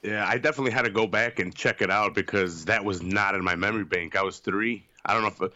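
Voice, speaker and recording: A man's voice: lowish voice, quick pace, bright, forceful tone, dull audio. A man in his late twenties, a podcast guest, chatting easily with plenty of fillers and restarts. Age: 20s. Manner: easygoing, many fillers and restarts, laughs along. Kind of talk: casual chat.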